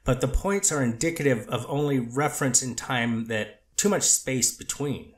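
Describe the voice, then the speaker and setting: A man reading the part of a treatment counselor testifying in court, hedging and halting with many restarts. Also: mid-range voice, reverberant, natural voice. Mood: measured. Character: earnest male counselor on the witness stand. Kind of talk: amateur readers theater reading of a court transcript.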